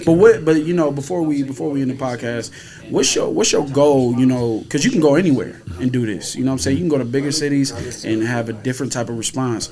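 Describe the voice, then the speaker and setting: A man's voice: medium pitch, fast, a crowd in the background. An American man hosting a podcast in a casual, playful and slangy way, often repeating himself. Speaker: podcast host. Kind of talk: casual chat and interviewing.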